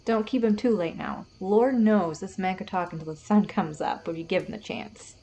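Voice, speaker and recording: A woman's voice: medium pitch, normal speed, mellow, a little hiss or hum, lightly acted. An actress playing a sweet, doting, folksy middle-aged mother who loves to chat, in an audio drama. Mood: affectionate, relaxed. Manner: folksy, affectionate.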